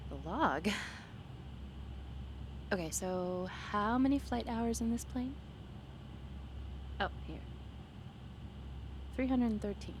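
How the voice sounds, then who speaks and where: A woman playing an excitable, playful young woman on a date, in a conversational, halting roleplay style with teasing, gushing delivery. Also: medium pitch, noisy background. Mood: curious, teasing. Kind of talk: ASMR roleplay acting, one side of a dialogue.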